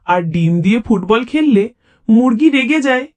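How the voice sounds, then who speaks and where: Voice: mid-range voice, animated, moderate pace, a little mellow. A storyteller reading scripted funny stories for children.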